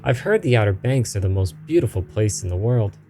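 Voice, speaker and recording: A man's voice: fairly low pitch, expressive, fairly quick, warm tone, faint music behind. A man voicing a wistful young woman in a game flashback, earnest and full of yearning. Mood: eager wonder.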